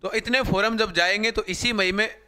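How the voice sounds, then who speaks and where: A young male coaching teacher with a high, bright voice. He is slightly hoarse but stays smooth and clear. He addresses his viewers over and over in a repetitive, live-class manner. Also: steady tone, a little fast. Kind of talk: live-stream class talk.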